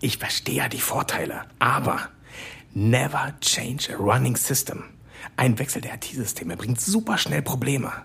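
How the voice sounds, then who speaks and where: An actor playing a grumbling, change-averse office worker in an audio drama, mumbling quietly in a gravelly, husky voice. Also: male voice, mid-range voice, expressive, normal speed, heavily acted. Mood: sly, reluctant. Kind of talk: German audio drama acting.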